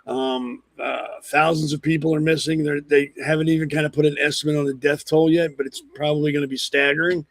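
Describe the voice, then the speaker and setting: Hoarse, gravelly opinionated male host. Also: a little high, little pitch movement, a little fast, hardly any pauses, no echo. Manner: blunt, rambling, with frequent ums and restarts. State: hoarse from a cold. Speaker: podcast host. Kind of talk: opinionated political commentary.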